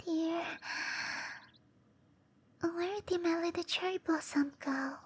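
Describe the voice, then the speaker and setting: A woman voicing a gentle, caring cherry blossom dryad girl in an ASMR roleplay, musing softly to herself in a breathy, often whispered voice. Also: very high-pitched. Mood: searching, fretful. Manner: musing to herself, slow and tender.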